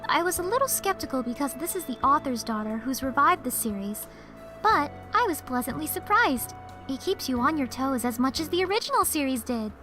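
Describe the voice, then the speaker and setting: Shy, high-pitched teenage girl. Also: sing-song, fairly quick, bright, forceful tone, faint music behind, exaggerated voice. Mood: earnest interest. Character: shy, earnest teenage girl, sweet and easily flustered. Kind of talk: anime-style visual novel voice acting.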